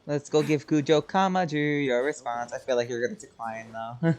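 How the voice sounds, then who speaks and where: A man's voice: mid-range voice, moderate pace, a little mellow. A man giving casual commentary and reactions while he plays a video game. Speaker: gamer playing and reacting.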